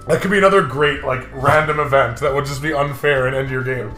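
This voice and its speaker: A man's voice: medium pitch, a little fast, sharp tone. A man co-hosting a comedic let's play, reading game text aloud with mock drama and jokey, casual banter. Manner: playful, mock-dramatic, jokey, often laughing. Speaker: co-host of a visual novel let's play. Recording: roomy echo with music behind.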